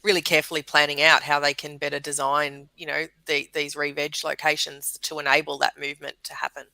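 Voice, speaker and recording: Bright, gravelly Australian woman guest. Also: low-pitched, flat delivery, fairly quick, dry recording, poor audio. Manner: explanatory, repeats words, many fillers. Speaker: bird conservation guest. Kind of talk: casual radio interview.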